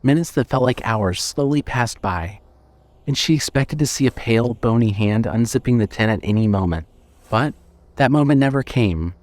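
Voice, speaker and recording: A man narrating scripted horror fiction in a measured, dramatic storytelling manner. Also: mid-range voice, animated, a little mellow, dry recording.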